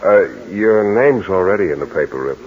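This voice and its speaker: A deep voiced laid back townsman, muffled old radio. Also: expressive, fairly quick. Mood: easygoing. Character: easygoing local man showing a visitor around. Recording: muffled 1940s radio broadcast.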